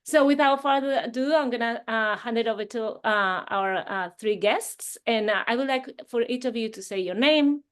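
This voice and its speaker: A woman's voice: fairly high pitch, normal speed, hardly any pauses, smooth. Lady dean running an online panel, hesitant, lots of uhs, on a video call. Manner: hesitant, many uh fillers. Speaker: assistant dean hosting a webinar panel.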